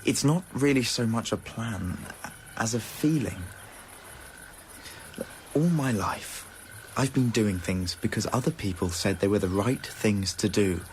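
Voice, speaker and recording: An actor playing a troubled, stubbornly determined young gentleman in a radio drama, speaking in earnest, educated phrases. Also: fairly low pitch, measured pace, lightly acted. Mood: resolute. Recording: faintly noisy old radio recording.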